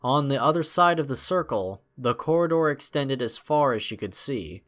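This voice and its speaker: A man narrating a scripted adventure story in a measured, expressive, dramatic storytelling style. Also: medium pitch.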